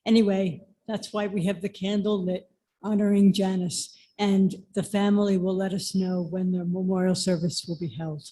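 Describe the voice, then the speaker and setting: A woman's voice: medium pitch, little pitch movement, normal speed, warm tone. A woman from the congregation giving an informal announcement at a church service. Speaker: congregation member making an announcement. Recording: faint background noise, room ambience.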